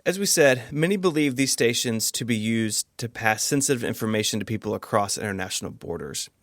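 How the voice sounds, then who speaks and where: A man's voice: mid-range voice, a little fast, no echo, clean studio sound. A man co-hosting a podcast, reading scripted explanations mixed with casual banter. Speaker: podcast co-host.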